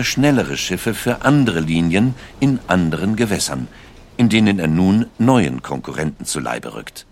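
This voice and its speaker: A man narrates a scripted documentary in a very deep voice. His intonation moves with lively range, and the recording is dry and close. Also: no echo.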